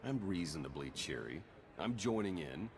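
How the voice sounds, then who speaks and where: A man's voice: deep voice, big pitch swings, moderate pace, a little hiss or hum. An actor playing a wry, easygoing male spy in a game, with casual, dry delivery. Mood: dry, friendly.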